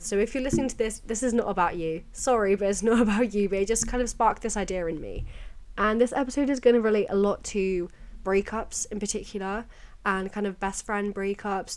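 Slightly croaky young British woman podcasting. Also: medium pitch. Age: young adult. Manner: informal, rambling, confiding, peppered with "like" and "you know". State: slightly croaky with a cold and sore throat. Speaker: podcast host. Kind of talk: casual chatty solo podcast.

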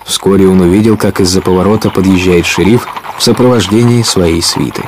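A man narrating a Russian audio drama as the storyteller of an adventure tale, in a measured manner. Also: low voice, noisy background. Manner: measured, clearly told storytelling.

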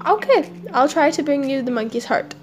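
A woman's voice: sits high, expressive, moderate pace, faint music behind. A woman voicing a meek, apologetic male crocodile husband in a storybook tale, hesitant and pleading. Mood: torn. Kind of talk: casual storybook character voice.

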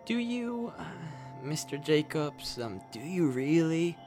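A man's voice: on the high side, very animated, takes it slowly, darkish tone, soft background music. A man playing a nervous, apologetic killer in a darkly comic radio play, stammering and rambling with plenty of swearing. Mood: awkward, hesitant. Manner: stammering, hesitant, rambling, foul-mouthed.

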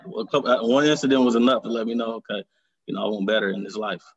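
Husky man, reflective. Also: medium pitch, highs cut off. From Detroit, Michigan. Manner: reflective and earnest, halting. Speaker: author, interview guest. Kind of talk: conversational interview.

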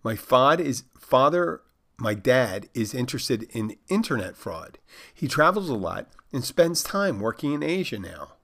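A man's voice: medium pitch, sing-song, a little slow, fairly bright tone, heavily acted. A man voicing a naive fourteen-year-old Polish expat boy, reading out stilted, overly formal text messages. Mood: earnest deadpan.

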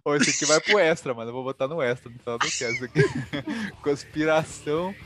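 A man's voice: fairly high pitch, animated, a little fast, background noise. A young man with an interior São Paulo accent, co-hosting a casual podcast with joking, slangy banter. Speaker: podcast co-host.

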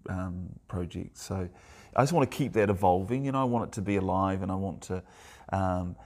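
Casting: very low-voiced New male guest, hesitant, chatty. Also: darkish tone. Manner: halting, repeats words, many fillers. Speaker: guest, author and artist. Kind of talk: casual chat.

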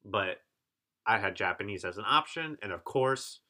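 A man's voice: fairly low pitch, normal speed. A man, telling stories in a casual podcast chat and often checking in with "right?". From Korea. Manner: relaxed, chatty, frequent restarts and "right?" checks. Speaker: podcast co-host. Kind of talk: casual conversational storytelling.

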